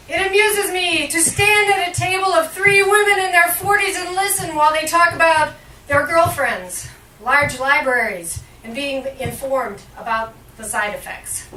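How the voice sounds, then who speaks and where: A woman poet reads aloud in a bright, forward voice that sits somewhat high. Her phrasing is measured and deliberate, leaning on each line break. It is a live cafe recording, caught from well back in a very roomy space. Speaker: poet, featured reader at a poetry reading. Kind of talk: live poetry reading. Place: indoor cafe poetry reading. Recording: roomy, distant live recording with faint noise.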